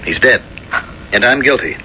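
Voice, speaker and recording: An actor playing a steadfast, loving husband in a 1970s radio drama, earnest, reassuring and resolute. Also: male voice, mid-range voice, lively intonation, moderate pace, sharp tone. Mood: earnest, firm. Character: steadfast, loving husband, principled professional man facing disgrace. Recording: dull, noisy old radio broadcast recording.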